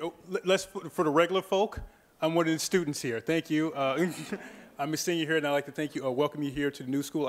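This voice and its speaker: Joking, halting young man welcoming students. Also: a little high. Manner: joking, halting, many fillers. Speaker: student peer advisor. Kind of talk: casual welcome speech.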